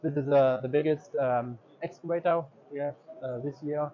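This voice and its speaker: A man's voice: a little high, fairly even intonation, moderate pace. Man touring a trade fair booth. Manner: hesitant, many ums and uhs. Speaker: equipment dealer representative. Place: large indoor exhibition hall. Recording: slightly dull audio, faint hall noise.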